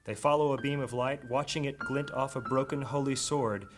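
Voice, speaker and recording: An actor playing a solemn storyteller, giving measured, scripted narration for a high fantasy audio drama. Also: male voice, steady tone, music in the background, natural voice. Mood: solemn, reflective.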